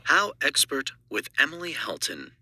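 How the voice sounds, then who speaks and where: A man's voice: on the high side, huge pitch swings, moderate pace, bright, forceful tone, dry recording. A man reading scripted audiobook narration as an audition.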